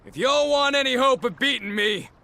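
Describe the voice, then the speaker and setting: A man's voice: extremely high pitch, normal speed, creaky, sharp tone, exaggerated voice. A man playing a cocky, battle-hungry swordsman villain in a fantasy video game, gloating theatrically. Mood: exhilarated, taunting. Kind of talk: English-dubbed fantasy game acting.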